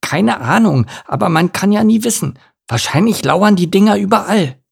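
Man voicing a fretful, high-pitched little boy duckling. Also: lively intonation, normal speed, creaky, slightly rough, exaggerated voice. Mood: gloomy. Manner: brooding, fretful. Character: anxious, overcautious, earnest young boy duckling. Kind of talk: storybook character voice.

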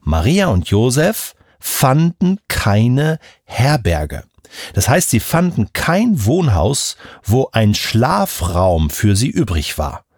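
Male children's show expert: unhurried, hugely lively intonation, simple conversational explanations, recorded dry. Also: medium pitch, dry recording. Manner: conversational, checks understanding with questions.

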